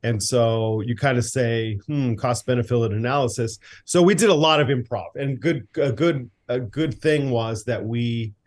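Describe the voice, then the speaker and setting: A man's voice: medium pitch, moderate pace, few pauses, darkish tone. A man, a director and writer, guesting on a podcast, easygoing, laughing often as he tells stories. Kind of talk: casual interview.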